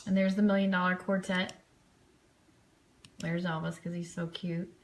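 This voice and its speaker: A woman's voice: fairly low pitch, level tone, normal speed, slight background noise. A woman giving casual live commentary on trip photos as host of an online fan show, chatty and enthusiastic.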